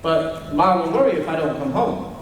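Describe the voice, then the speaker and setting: A man's voice: a little high, expressive, moderate pace, a little hiss or hum, close to an everyday voice. An actor playing a simple-minded shell-shocked young man in a radio drama, naive and hesitant, stammering a bit. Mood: childlike. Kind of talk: 1930s radio drama acting. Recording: echoey old radio recording from far off.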